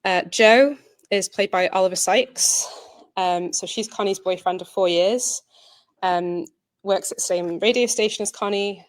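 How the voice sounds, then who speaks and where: A woman giving an informal spoken presentation as an audio drama producer, earnest and hesitant in her delivery. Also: on the low side.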